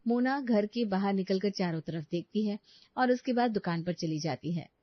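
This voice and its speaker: A woman's voice: mid-range voice, expressive, moderate pace, dry recording, dull audio. A woman reading scripted narration for a cartoon story.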